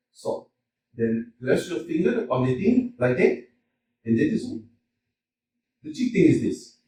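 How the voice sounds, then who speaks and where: An actor playing a hot-tempered middle-aged landowner in a staged comedy reading, swinging from mutters to loud outbursts. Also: male voice, fairly high pitch, big pitch swings, slow pace, long pauses, slight background noise. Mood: coaxing. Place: community theater stage. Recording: echoey hall, mic from far off.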